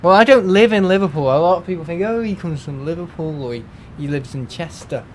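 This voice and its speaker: A man's voice: on the high side, lively intonation, fairly quick, a little mellow, slight background noise. A man with a Merseyside accent, hosting a livestream and chatting casually with viewers, rambling with lots of fillers and restarts. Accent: Merseyside English. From England. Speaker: livestream host. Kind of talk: casual chat with live viewers.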